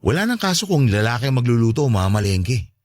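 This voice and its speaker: A man's voice: mid-range voice, very animated, quick pace, no echo, clean studio sound, natural voice. A man playing a meek, accommodating, homely stepfather in a radio-style drama, polite, placating and defensive. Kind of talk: Tagalog radio-style love story drama.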